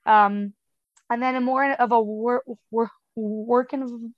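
A woman's voice: a little high, fairly even intonation, takes it slowly, no echo, lo-fi sound. A young woman talking casually as a podcast guest, with a marked stutter of repeated sounds and blocks and a frank, chatty manner full of fillers. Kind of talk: casual conversational interview.

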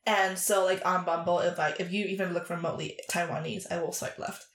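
A woman's voice: lowish voice, steady tone, brisk. A woman as an interview guest, chatting candidly and frankly, hesitating often and leaning on fillers. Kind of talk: candid casual chat. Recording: roomy echo.

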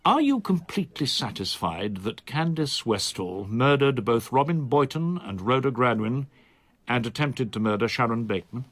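Solicitor in his sixties, radio drama, husky voice, expressive pitch, formal, reserved, measured. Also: no room sound. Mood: brisk, businesslike. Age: 60s. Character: reserved older male solicitor, in his sixties, quietly confiding.

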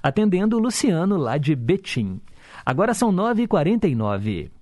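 A man with a Brazilian Portuguese accent, hosting a radio show, chatting quickly and reading horoscopes with a joke at his own expense. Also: high-pitched, sing-song, darkish tone, dry recording. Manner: brisk, chatty, jokes at himself. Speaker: radio host.

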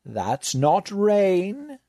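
A man's voice: high-pitched, huge pitch swings, extremely slow, dark tone, no room sound, studio quality, pitched above the performer's own voice, exaggerated voice. A man voicing a gleeful, mocking inhuman librarian inside a host body. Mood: smug. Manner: chuckling, mocking.

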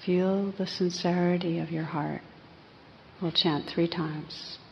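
Slow, low-voiced woman guiding meditation. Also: fairly even intonation, vocal fry. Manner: gentle, unhurried, pausing between phrases. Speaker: meditation teacher. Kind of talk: guided meditation. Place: live evening group session. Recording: slightly muffled live recording, faint hiss.

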